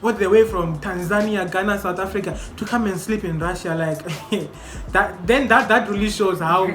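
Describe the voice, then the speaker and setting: A young man hosting a casual group discussion among medical students, asking questions in an animated way. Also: high-pitched, normal speed. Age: young adult. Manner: animated, questioning, laughs often. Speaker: host. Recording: echoey room with music behind.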